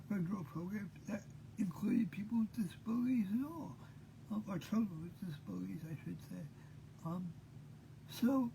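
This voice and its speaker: A man in his fifties hosting an unscripted monologue, talking haltingly with many pauses, tired and short of breath. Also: high-pitched, gravelly, mellow, a little hiss or hum, rough recording. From Maine. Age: 50s. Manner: halting, full of pauses and fillers. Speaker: host.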